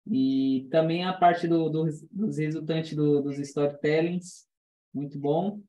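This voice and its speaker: A man's voice: a little high, steady tone, slow pace, warm tone, highs cut off. A Brazilian man aged nineteen chatting casually as a working animator. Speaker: student turned working animator. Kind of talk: casual chat.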